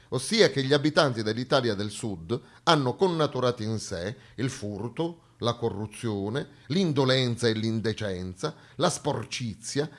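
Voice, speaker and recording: A man performing a satirical, colloquial theatre monologue on stage, ironic and full of rhetorical questions. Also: medium pitch.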